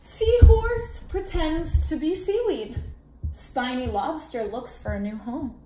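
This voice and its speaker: Woman in her twenties, high-pitched, unhurried children's storyteller, expressive, roomy recording. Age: 20s. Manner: deliberate, animated, asks children questions. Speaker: read-aloud storyteller for children. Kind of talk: expressive picture-book reading. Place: small indoor room at a table. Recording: echoey room, background noise with clicks.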